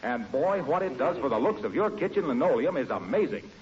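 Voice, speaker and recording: A man's voice: medium pitch, sing-song, brisk, darkish tone, natural voice. A man playing a cheery, gushing salesman and family friend in 1940s radio announcer style, full of effusive sales patter. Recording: dull, faintly noisy 1940s radio recording.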